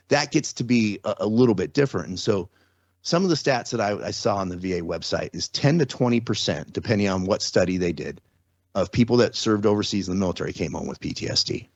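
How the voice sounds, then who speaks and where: A man's voice: on the low side, no room sound, highs cut off. A man speaking as a podcast guest, explaining things step by step in a casual, conversational way with everyday examples. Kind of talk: casual explanatory interview talk.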